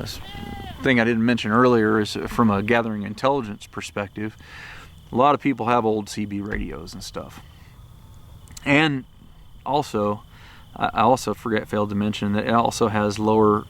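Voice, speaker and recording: A low-pitched American man talks through a casual gear review in a loose, rambling, matter-of-fact way. His voice has a gravelly fry to it. It is picked up by a handheld camera with clear background noise. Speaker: presenter of gear review videos. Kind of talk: casual unscripted product review vlog.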